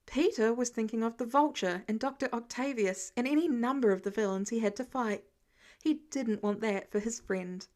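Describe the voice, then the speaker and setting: A woman with a fairly high voice narrates fiction from a script. She reads as an amateur audiobook narrator. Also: moderate pace, a little mellow.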